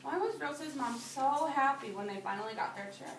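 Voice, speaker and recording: High-voiced woman teacher reading to young children. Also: expressive, brisk. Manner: patient, simple child-directed talk, repeats and echoes answers. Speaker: preschool or early grade teacher. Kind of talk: storybook read-aloud and discussion with young children. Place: indoor room with young children. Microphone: phone or camera at a distance. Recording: roomy, distant phone video with noise.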